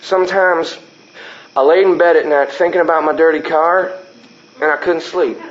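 An actor playing a slow, gentle ballplayer who is dying, in plain, halting country talk. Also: male voice, on the high side, fairly even intonation, muffled recording, close to an everyday voice. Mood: musing. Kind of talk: radio drama acting.

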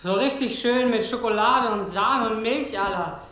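A man's voice: high voice, moderate pace, sharp tone, slight background noise. Sullen teenage boy, echoey. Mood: sullen, scornful. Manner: grumbling, dismissive. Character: sullen, slangy thirteen-year-old boy, contemptuous of parents. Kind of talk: film dialogue in an audio drama.

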